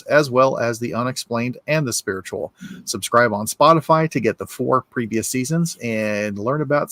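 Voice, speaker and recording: A man's voice: mid-range voice, a bit bright, no echo. Guy cohosting a whiskey podcast, rambles a bit with lots of uhs, dry close sound. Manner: friendly, rambling, with filler words. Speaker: co-host. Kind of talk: casual podcast announcements.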